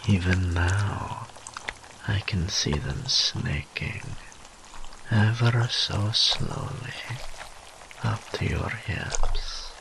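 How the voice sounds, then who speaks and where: A man playing a seductive, teasing, dominant demon incubus in an intimate audio roleplay, breathy and often whispering, drawing out his taunts. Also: deep voice, background noise, full character voice. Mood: seductive, taunting. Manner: slow, drawn-out, mock-sympathetic purring taunts.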